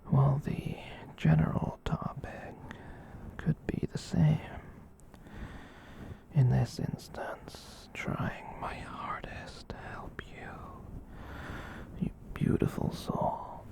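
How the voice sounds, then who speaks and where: A performer voicing a gentle, kind giant catboy in a soft breathy whisper, rambling reassuringly in an ASMR roleplay. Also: medium pitch, fairly even intonation. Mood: soothing, confiding. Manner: rambling, reassuring, slow with long pauses.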